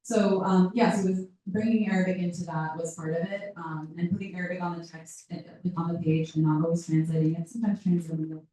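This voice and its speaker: Soft woman, far off in an echoey hall. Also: fairly low pitch, steady tone, fast, hardly any pauses. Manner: reflective, searching for words with restarts. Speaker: author in conversation. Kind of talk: casual talk at a live book event. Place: event room with audience. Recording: roomy hall picked up from far off.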